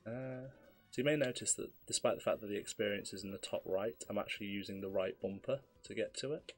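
A slightly hoarse man with a fairly low voice talks through a speedrun in a relaxed, explanatory way. Faint game music plays underneath. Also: fast talker. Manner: relaxed, explanatory, self-deprecating. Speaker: speedrunner commentating his own run. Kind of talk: live gameplay commentary.

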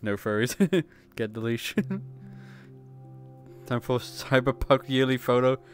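A man's voice: mid-range voice, fairly even intonation, faint music behind. A man streaming in a virtual world, chatting casually and laughing often. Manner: giggly, teasing, quick to laugh. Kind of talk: casual livestream banter.

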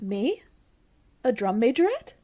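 A woman's voice: a little high, sing-song, unhurried, warm tone. A woman playing an eager, naive young college secretary, gushing in an old-time style radio comedy.

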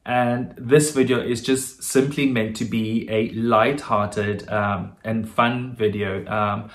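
A man's voice: lowish voice, steady tone, slow pace. A man from South Africa with a South African accent, chatting casually to his camera, with a lot of fillers and little laughs. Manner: switches between German and English. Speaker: vlogger.

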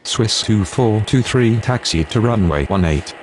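A sober, deep-pitched airline radio man, played by a male actor, in a simulation game. His calls are procedural and clipped, with faint vehicle noise behind. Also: expressive, measured pace. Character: adult male pilot and controller, sober, procedural. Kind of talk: clipped aviation radio phraseology.

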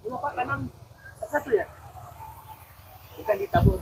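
An older man who farms rice, giving brief, soft-spoken answers outdoors in a paddy, heard from a distance. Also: extremely high pitch, sing-song, moderate pace, background noise. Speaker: rice farmer. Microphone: from a distance via another man's body-worn camera.

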